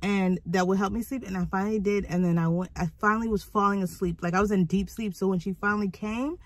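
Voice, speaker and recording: Young mom vlogging from her car on her phone, hoarse from a cold, chatty. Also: mid-range voice, quick pace, dry recording. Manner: chatty, animated storytelling. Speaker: vlogger mother. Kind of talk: casual vlog chat. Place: parked car, indoors. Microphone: phone held at arm's length.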